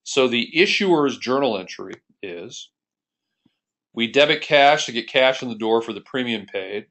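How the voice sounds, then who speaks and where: Low-pitched American man teaching step by step. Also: a bit bright. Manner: methodical, step-by-step explaining. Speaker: accounting instructor. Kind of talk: explanatory screencast lesson.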